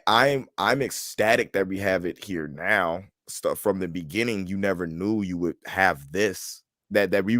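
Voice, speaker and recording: A man with a low voice co-hosts a casual fan discussion. He talks in a colloquial, emphatic way, often restarting and repeating phrases. The recording is close and dry, with little room sound. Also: fairly bright tone, dry recording. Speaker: co-host of a pop culture show.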